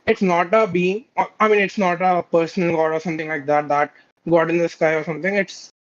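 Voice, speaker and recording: A man's voice: a little high, fairly even intonation, a little fast. A man calling in to a debate show, talking hesitantly with many fillers and restarts. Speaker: caller. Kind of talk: casual debate call. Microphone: video call. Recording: quiet, dull lo-fi call mic.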